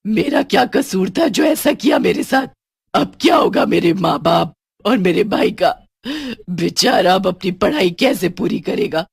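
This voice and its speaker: Woman acting a loud, vengeful young female ghost. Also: medium pitch, vocal fry, husky, dry recording, studio quality, heavily acted. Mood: enraged. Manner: intense, anguished, pleading exclamations. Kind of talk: dramatised horror storybook.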